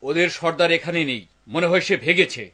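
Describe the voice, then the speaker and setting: A man's voice: a little high, moderate pace, pushed and bright. A man voicing a commanding, confident police officer in a cartoon. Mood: assured. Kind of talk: dubbed cartoon acting.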